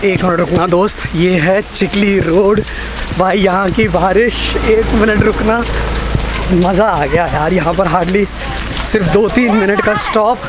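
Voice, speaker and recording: A man's voice: sits high, a little slow. A young man talking to a handheld camera at arm's length on rainy platforms and in a train coach, chatting with viewers and mixing English into Hindi. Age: young adult. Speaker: travel vlogger. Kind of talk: casual travel vlog to camera. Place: outdoors on rainy station platforms and inside a moving train coach. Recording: loud outdoor and train noise.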